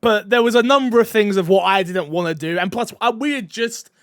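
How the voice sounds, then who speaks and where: A man hosting a casual gaming podcast, excitable and joking, laughing often. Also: high-pitched, brisk, dry recording, clean studio sound. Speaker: podcast host.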